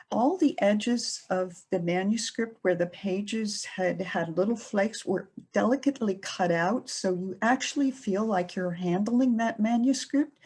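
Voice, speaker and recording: A middle-aged woman librarian giving a presentation, speaking slowly with many pauses and fillers. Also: mid-range voice, a little mellow. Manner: slow, hesitant with many fillers. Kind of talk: presentation talk.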